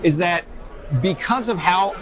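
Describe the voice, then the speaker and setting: A man's voice: high-pitched, a little slow, a faint crowd. A man co-hosting a casual fan discussion, speaking in an opinionated, enthusiastic way, recorded at a noisy convention. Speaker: podcast co-host. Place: loud festival venue. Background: loud music.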